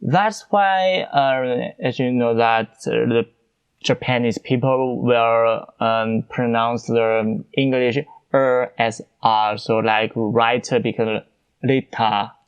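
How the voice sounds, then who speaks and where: A man hosts a solo podcast, talking off the cuff in English, his after Mandarin. He speaks slowly and haltingly, stopping to search for words and repeating himself. His voice sounds smooth and clear. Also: mid-range voice, steady tone, highs cut off. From China. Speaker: podcast host. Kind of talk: unscripted solo podcast talk.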